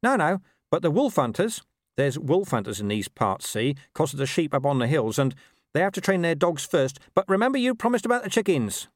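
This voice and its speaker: Man voicing an urgent, high-pitched scruffy talking dog. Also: very animated, brisk, dry recording, studio quality. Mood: urgent, wheedling. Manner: urgent, colloquial, wheedling. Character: streetwise talking male dog, urgent and scheming.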